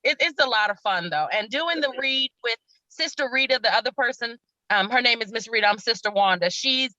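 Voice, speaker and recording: A woman's voice: fairly high pitch, a little fast, no echo, highs cut off. A woman chatting casually in an interview as an actress, telling stories animatedly and acting out bits of dialogue. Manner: animated storytelling, quoting dialogue. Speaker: stage actress interviewed about her role.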